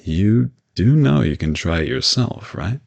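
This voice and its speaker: A man playing a gentle, courteous old vampire in an intimate audio roleplay, reassuring and lightly teasing as he speaks to the listener. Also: fairly low pitch, expressive, unhurried, creaky, highs cut off, exaggerated voice. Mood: conversational, friendly. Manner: soft-spoken reassurance, politely formal with teasing asides.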